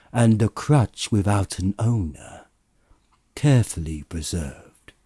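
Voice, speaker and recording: A man's voice: on the low side, mellow, no echo, studio quality. A man voicing a stern, righteous spirit in a dramatized audiobook of a Victorian ghost story, declaiming solemnly and emphatically. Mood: solemn, grave. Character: stern, booming, righteous spirit rebuking a miser.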